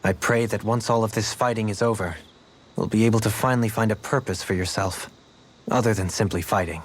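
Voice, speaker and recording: Actor as a courtly, low-voiced nobleman. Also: a little fast, a little hiss or hum, natural voice. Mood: encouraging, thoughtful. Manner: formal, eloquent, gentle. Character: cultured, courtly nobleman, gracious, reflective, music-loving. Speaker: video game party member. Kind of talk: English-language video game character dialogue.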